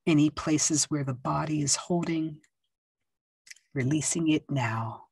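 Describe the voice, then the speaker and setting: A woman guides a relaxation meditation in a low, husky voice. She speaks very slowly and gently, leaving long pauses between soft instructions. The recording is dry and close. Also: no echo. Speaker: yoga nidra teacher.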